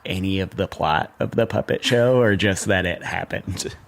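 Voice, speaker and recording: A man's voice: sits low, normal speed, gravelly. A man reading scripted documentary narration as a podcast host.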